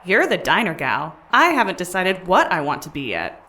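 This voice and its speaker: Lady doing bratty alien twin sister, argues everything, bright swoopy voice, comedy podcast acting. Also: lowish voice, huge pitch swings, normal speed, exaggerated voice. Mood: flippant. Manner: sassy, argumentative. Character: bratty, defiant female alien twin, newly budded. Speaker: voice actor. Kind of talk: audio comedy drama acting.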